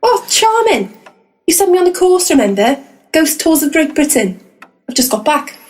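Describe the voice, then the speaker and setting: A woman playing a keen but exasperated young assistant to ghost hunters in a comic audio drama, brisk and indignant. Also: high-pitched, big pitch swings. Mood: cheerful exasperation.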